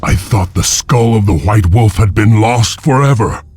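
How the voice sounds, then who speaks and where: Grim defiant wolf warrior for a game, deep husky voice, grave, music behind. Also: male voice, a little slow, darkish tone, dry recording. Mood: solemn awe. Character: stoic, defiant male wolf-clan slave warrior, hairy and grim. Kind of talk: video game cutscene acting.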